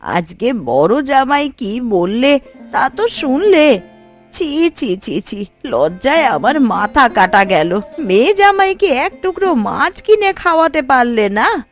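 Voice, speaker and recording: A woman playing an old village grandmother in a cartoon folk tale, hospitable but easily worried. Her delivery swings widely in pitch, with music underneath. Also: sing-song, takes it slowly, full character voice. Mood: ashamed, anxious. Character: elderly, anxious, hospitable village mother and grandmother. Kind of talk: Bengali cartoon story acting.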